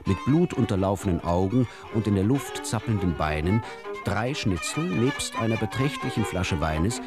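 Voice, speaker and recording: A man narrating literary short stories for radio in a theatrical, deliberate storytelling manner. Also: lowish voice, expressive, music in the background.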